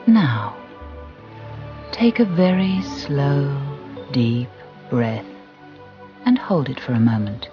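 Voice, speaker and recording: Muffled recording with music behind, slow guided meditation narrator, soothing, gentle. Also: medium pitch, expressive. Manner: soothing, gentle, deliberate with long pauses. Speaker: guided relaxation instructor. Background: background music.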